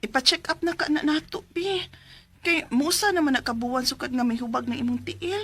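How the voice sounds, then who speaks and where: A practical Filipino wife in a Cebuano radio drama. Her high voice is colloquial, scolding but caring. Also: fairly quick, fairly bright tone, breathy edge, heavily acted. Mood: concerned. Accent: Filipino, Cebuano-speaking. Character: concerned, nagging, practical wife. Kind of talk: Philippine radio drama acting.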